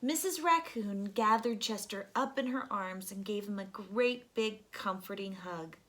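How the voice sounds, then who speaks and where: Slow, gentle woman reading a storybook. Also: medium pitch, slight background noise. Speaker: children's storybook reader. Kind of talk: expressive read-aloud narration for children.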